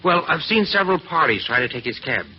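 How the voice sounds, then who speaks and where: Man acting a fast-talking detective's assistant. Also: on the high side, lively intonation, lightly acted. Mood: eager, wry. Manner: brisk, colloquial. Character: brisk, slangy detective's assistant on lookout. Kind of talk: 1940s radio acting. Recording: dull, faintly noisy vintage radio recording.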